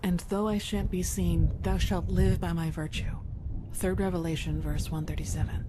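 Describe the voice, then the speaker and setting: A woman playing a devout, earnest and gentle novice in a fantasy game. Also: fairly low pitch, steady tone, normal speed, noisy background, natural voice. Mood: earnest, pleading.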